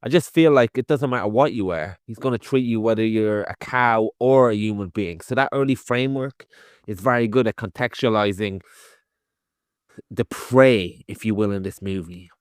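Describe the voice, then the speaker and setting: Clear-voiced Irish man, close dry sound. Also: fairly low pitch, normal speed, no room sound. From Ireland. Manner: conversational, thinking aloud, mildly sweary. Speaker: film podcast co-host. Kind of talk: casual film review monologue.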